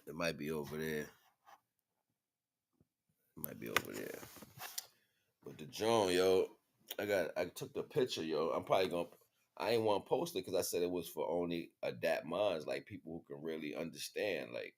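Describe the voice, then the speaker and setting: Male, American, low voice; loose, slangy streamer talking off the cuff, circling back on phrases. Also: expressive, normal speed, vocal fry, pauses often. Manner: slangy, repetitive with frequent check-in phrases. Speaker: livestream host. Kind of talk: casual rambling monologue to viewers.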